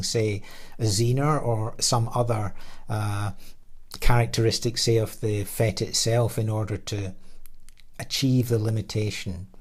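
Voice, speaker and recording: A man narrating a technical slide presentation as an engineer, in a measured, hesitant manner with pauses in the middle of phrases. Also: lowish voice, a little mellow. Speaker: radio design engineer presenting his product.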